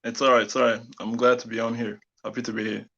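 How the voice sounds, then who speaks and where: A young man in his twenties from Rwanda, answering interview questions in a relaxed, hesitant way. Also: mid-range voice, fast talker, vocal fry, dull audio. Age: 20s. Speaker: guest, film and music director.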